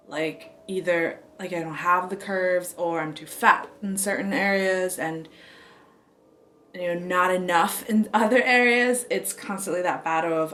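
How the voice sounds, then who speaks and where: Young woman, from Taiwan, lower pitch, soft halting delivery, reflective interview talk. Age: young adult. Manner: soft, halting, often trailing into a whisper. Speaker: dancer interviewee.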